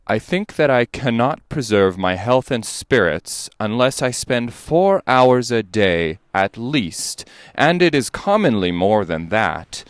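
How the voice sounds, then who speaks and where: Male dramatic reader, medium pitch, period-lecture oratory, deliberate and emphatic, very dry sound. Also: clear, resonant tone, no room sound. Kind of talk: dramatic reading of a 19th-century lecture.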